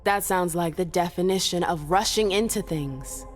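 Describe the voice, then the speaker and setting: A woman acting as a female vampire, lowish voice, wry, sceptical, dry, loyal, video game drama, music behind. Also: moderate pace, natural voice. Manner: dry, sardonic, casual.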